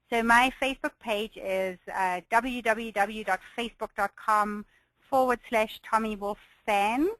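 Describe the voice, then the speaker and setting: A woman runs a pricing webinar, speaking in a slightly hoarse voice from a cold. She sounds encouraging and upbeat and often laughs lightly between her points. The sound is dry and close to a computer mic. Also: on the high side, fairly bright tone, dry recording, poor audio. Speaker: business coach hosting a webinar. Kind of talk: webinar presentation.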